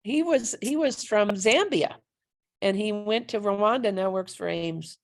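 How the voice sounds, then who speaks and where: A woman's voice: mid-range voice, unhurried, creaky. A woman chatting enthusiastically on a video call, effusive and welcoming. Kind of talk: casual video call chat.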